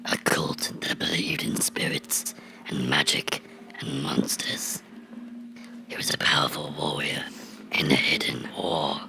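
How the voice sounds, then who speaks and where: A man playing a vengeful cartoon gnome come to life, menacing and mocking in a breathy, gravelly whisper for a horror ASMR role-play. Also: rumbling low, exaggerated voice. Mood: ominous storytelling. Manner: slow, deliberate, sinisterly playful, taunting.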